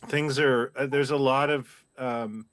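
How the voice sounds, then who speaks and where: A man from Canada chatting about vintage toys in a halting, self-deprecating way, with many restarts. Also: mid-range voice, steady tone, fairly bright tone, clean tone, dry recording. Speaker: toy collector and writer. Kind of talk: casual panel chat.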